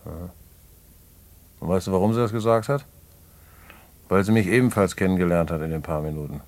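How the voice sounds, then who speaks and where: Low-voiced, wistful small-time crook. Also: male voice, fairly quick, a little mellow. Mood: wistful, regretful. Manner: colloquial, musing, rueful. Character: streetwise male small-time crook in jail, wistful, fatalistic. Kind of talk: naturalistic German radio play acting.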